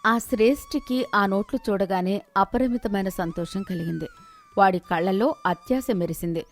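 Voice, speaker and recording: A woman narrator telling a scripted moral story in an expressive storytelling manner. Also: medium pitch, moderate pace.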